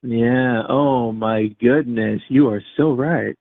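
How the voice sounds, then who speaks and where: A man's voice: medium pitch, steady tone, slow pace. A man calling in to a live stream, combative and interrupting, heard over a phone-like call line. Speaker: caller. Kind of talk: argumentative call-in.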